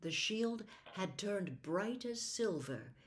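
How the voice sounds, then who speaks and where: A woman reading a classic story aloud as expressive, measured audiobook narration. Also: mid-range voice. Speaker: audiobook reader.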